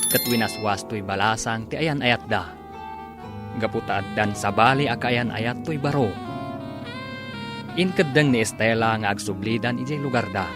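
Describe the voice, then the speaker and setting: Dramatic male radio serial announcer. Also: mid-range voice. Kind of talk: dramatic scripted radio narration. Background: music.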